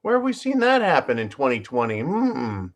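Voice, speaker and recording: A man's voice: mid-range voice, wildly swooping pitch, normal speed. A man hosting his own channel, giving opinionated news commentary in a sarcastic, profane way and often addressing his listeners as folks. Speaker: channel host.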